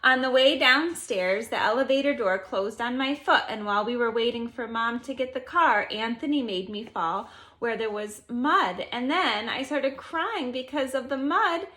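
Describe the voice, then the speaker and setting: A woman in her forties reading a children's picture book aloud expressively, with deliberate, emphatic phrasing. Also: a little high, bright, forceful tone. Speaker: storybook reader. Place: living room at home, indoors.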